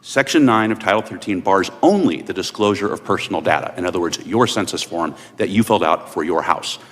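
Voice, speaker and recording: A low-voiced man delivers formal testimony in a large, reverberant hearing room. He lays out his points one by one, emphatic and deliberate. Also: fairly bright tone. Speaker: witness at congressional hearing.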